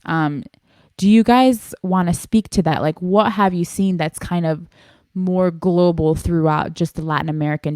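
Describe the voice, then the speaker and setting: A young woman with a Panamanian family background co-hosting a casual podcast chat, animated and leading the discussion. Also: fairly low pitch, clean tone, dry recording, clean studio sound. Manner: animated, leads the discussion, switches into Spanish for quotes. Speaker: podcast co-host.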